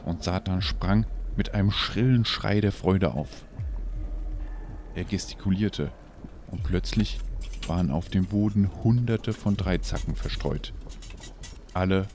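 A man tells a scripted story dramatically as narrator. His voice is deep and creaky, his pace measured. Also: a little mellow, slight background noise.

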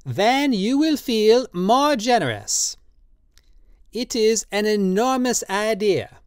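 A storybook reader voicing an excitable, drunken old man who pleads and insists, raised well above the reader's own voice with an altered tone. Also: medium pitch, slow, clean tone, dry recording, studio quality, voice pushed up. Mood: eager.

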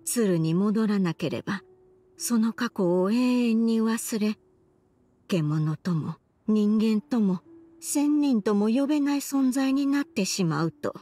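A woman's voice: mid-range voice, expressive, slow pace, warm tone. An actress playing a remorseful old grandmother in a Japanese game, confessing her past in an archaic elderly way of speaking. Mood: wistful, reflective. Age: older. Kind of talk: Japanese game voice acting, dramatic monologue.

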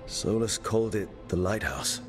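A man's voice: lowish voice, lively intonation, moderate pace, close to an everyday voice. A man voicing a blunt, matter-of-fact young hero who leads his team, in game dialogue. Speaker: player character. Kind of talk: video game voice acting. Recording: game dialogue over clear music.

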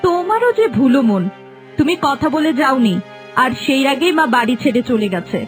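A woman's voice: high voice, big pitch swings, moderate pace, music in the background. A woman playing a spiteful, scheming young village daughter-in-law in a cartoon, scolding and dismissive. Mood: feigned concern. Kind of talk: cartoon voice acting.

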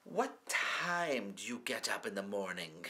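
A man's voice: fairly low pitch, big pitch swings, a little slow, bright, forceful tone, heavily acted. A man voicing a flamboyant, rude male celebrity interviewer who asks abrupt, mocking questions. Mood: deadpan. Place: small studio with curtain backdrop.

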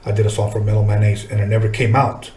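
A man's voice: fairly low pitch, level tone, fast, warm tone, echoey room. A middle-aged man hosting a casual interview, talking informally with plenty of swearing. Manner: informal, profane, reminiscing. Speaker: podcast host, music artist. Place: small podcast studio, indoors. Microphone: desk podcast microphone.

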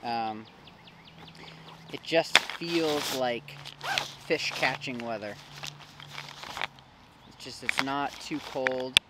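A man's voice: medium pitch, very slow, pauses often. A young American man chatting casually to the camera as a fishing vlogger. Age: young adult. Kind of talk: casual vlog chat to camera. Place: outdoors by a river, sometimes in a car. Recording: outdoor recording with clear background noise.

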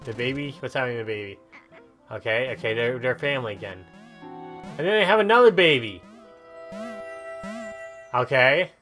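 A man's voice: mid-range voice, lively intonation, normal speed, pauses often. Casual rambling man over game music. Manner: rambling, reacting aloud, thinking out loud. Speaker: gaming video host. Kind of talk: casual gameplay commentary.

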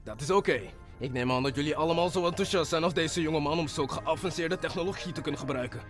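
A man's voice: a little high, quick pace, over music, pitched below the performer's own voice, lightly acted. A man in an amateur cartoon fandub voicing a strict adult science teacher who scolds his students. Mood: stern. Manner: stern, scolding.

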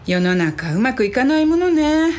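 A woman's voice: high voice, very animated, moderate pace, darkish tone. A woman playing a composed, genteel company president in a radio drama, polite and ladylike. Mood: inquiring.

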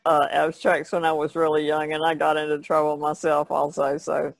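Woman chatting on a podcast, croaky fry in her voice, dry recording. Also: low-pitched, monotone, normal speed, no echo. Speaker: podcast panelist. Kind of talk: casual chat.

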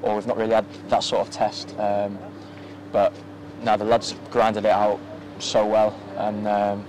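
Young male footballer, flat low delivery, halting, outdoor handheld-mic interview. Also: poor audio. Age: young adult. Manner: soft-spoken, many ums and restarts. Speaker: professional footballer. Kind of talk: post-match interview. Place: outdoors at a floodlit football ground at night. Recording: outdoor ambience with faint noise.

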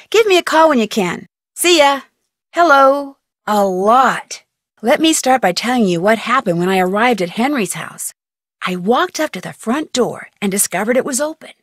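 A woman's voice: on the high side, pushed and bright, no room sound. An actress voicing a curious, determined young female amateur detective in a video game, asking polite, probing questions in an upbeat manner. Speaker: game character voice. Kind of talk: voiced game dialogue. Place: recording studio.

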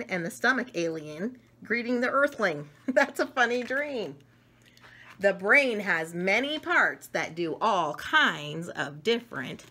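A middle-aged woman reading a picture book aloud to children, expressive and enthusiastic, with chatty asides to her listeners. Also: mid-range voice. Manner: enthusiastic, expressive, talks directly to young listeners. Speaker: read-aloud storyteller. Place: small domestic room indoors.

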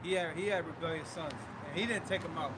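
A man with a high, quick delivery teaches scripture out on a city street. He talks blunt and colloquial, and loud traffic roars behind him. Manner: blunt, assertive, colloquial, calls people bro. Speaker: street preacher. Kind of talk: outdoor street teaching and debate.

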